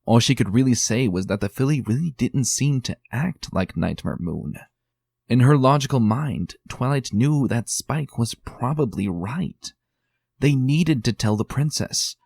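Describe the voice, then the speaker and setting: A man narrating a dramatic reading of a fan story in a measured, steady storytelling manner. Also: lowish voice, creaky, no room sound, clean studio sound.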